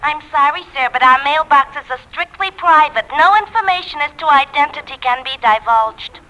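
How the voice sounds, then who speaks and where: An actress playing an officious, unbending post office clerk in a 1940s radio comedy, curt and prim. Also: female voice, high-pitched, animated, normal speed, bright, forceful tone. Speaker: radio drama actor. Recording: muffled 1940s radio recording.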